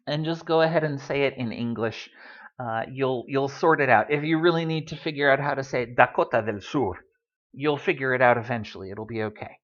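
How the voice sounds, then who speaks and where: A man's voice: medium pitch, fairly quick, a bit bright, highs cut off. A man giving a casual Spanish lesson, full of wry asides and ums. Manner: chatty, wry asides, many ums and uhs. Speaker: Spanish teacher.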